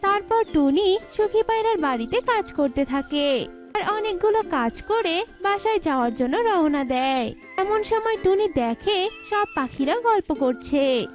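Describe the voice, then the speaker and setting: A woman's voice: extremely high pitch, expressive, measured pace, soft background music. A woman narrating a scripted children's cartoon story.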